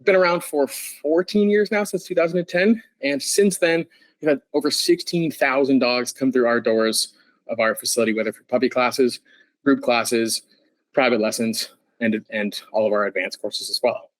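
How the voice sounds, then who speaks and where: A man's voice: mid-range voice, moderate pace, no room sound, rough recording. A man, a dog trainer presenting a webinar over a video call, talking in a chatty, self-deprecating way and sounding slightly stuffy from allergies.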